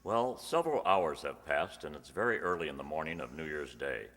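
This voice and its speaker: A man's voice: very deep voice, animated, a little fast, loud music behind the voice. A man announcing a radio play in a scripted, old-time presentational style. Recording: reverberant hall stage recording.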